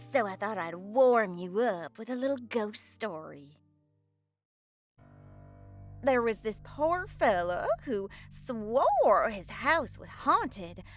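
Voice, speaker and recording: A vampy late-night horror hostess, as a woman puts her on, drawling slowly. Her high, breathy voice swoops all over as she teases her listeners with innuendo. Faint music plays behind her. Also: fairly bright tone, heavily acted. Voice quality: breathy and purring, with flirty laughs. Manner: innuendo-laden, drawling, teasing. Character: sultry, bawdy female horror hostess, teasing.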